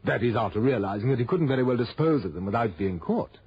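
Fussy genteel male art dealer, animated intonation, formal and hesitant, dull old radio sound. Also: medium pitch, fast, breathy edge, dry recording. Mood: hesitant, speculating. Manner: prim, formal, hesitant phrasing. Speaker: supporting actor. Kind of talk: 1960s radio drama acting.

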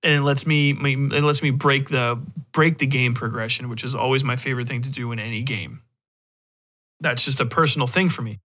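A man's voice: medium pitch, brisk, pushed and bright. A man hosting a podcast, talking in a casual, opinionated way with blunt, casually profane phrasing. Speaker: podcast host. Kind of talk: casual opinionated discussion of video games.

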